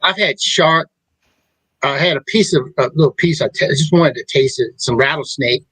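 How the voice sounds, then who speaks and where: A middle-aged man hosting a talk show, speaking in a rambling, halting way with many restarts. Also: fairly high pitch, a bit bright, breathy edge, no echo, low-quality recording. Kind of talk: casual chat.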